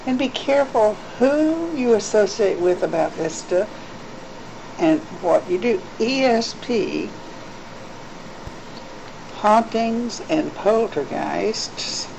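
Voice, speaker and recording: A woman's voice: mid-range voice, lively intonation, slow, pauses often. A woman working as a psychic gives casual one-to-one advice, instructive and emphatic, often repeating herself for weight. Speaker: psychic giving a private reading. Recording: noisy home cassette tape with hum.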